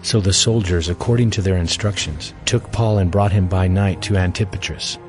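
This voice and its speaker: Deep male Bible narrator. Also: fairly even intonation, moderate pace, over music.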